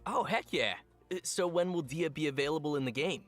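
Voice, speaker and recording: A man's voice: fairly high pitch, expressive, fairly quick, soft background music. A man co-hosting a game preview show, delivering scripted updates with some light banter.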